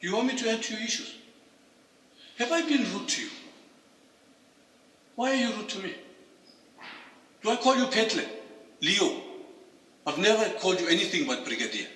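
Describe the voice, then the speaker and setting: A man's voice: high-pitched, lively intonation, normal speed, long pauses, pushed and bright. A man speaking as a stern police commander in a confrontational argument, repeating his demands. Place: office, recorded from a distance. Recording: echoey, distant covert office recording.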